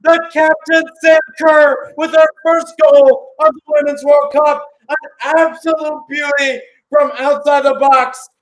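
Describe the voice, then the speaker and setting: A man's voice: very high-pitched, little pitch movement, slow pace, hardly any pauses, poor audio. Man calling live soccer play-by-play. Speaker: radio play-by-play commentator.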